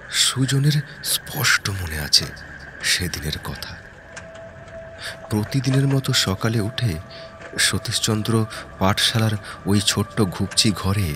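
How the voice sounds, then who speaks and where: A man narrating a horror story in a slow, hushed, dramatic way, dropping to a whisper at times, close to the mic. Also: low-pitched, noisy background. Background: eerie background music.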